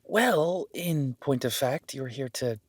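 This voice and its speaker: A man's voice: medium pitch, expressive, slow, breathy edge, dry recording. A man playing an awkward, self-deprecating young werewolf duke in a roleplay audio drama, stammering and apologetic, speaking informally to the listener. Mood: sheepish, candid.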